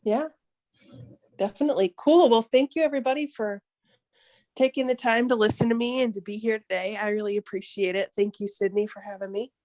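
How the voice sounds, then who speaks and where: A woman's voice: medium pitch, brisk, darkish tone, dry recording. A woman who gave a talk thanking the group on a video call, upbeat and encouraging. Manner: upbeat, short encouraging replies. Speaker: guest speaker. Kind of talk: casual chat on a video call.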